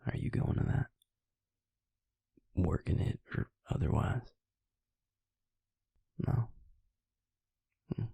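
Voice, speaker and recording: A man playing an affectionate, laid-back vampire mate in an intimate roleplay audio, speaking in a breathy, gravelly murmur with tender, hesitant pauses. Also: dark tone, no echo, natural voice. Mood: musing, relaxed.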